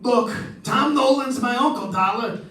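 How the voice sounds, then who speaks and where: Defensive nightclub boss , male actor: extremely high-pitched, exasperated, radio drama style, distant from mic. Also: unhurried, fairly bright tone. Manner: insistent, exasperated. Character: defensive male supper club owner protecting his uncle. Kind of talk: old-time radio detective drama performed live. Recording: echoey room, distant mic.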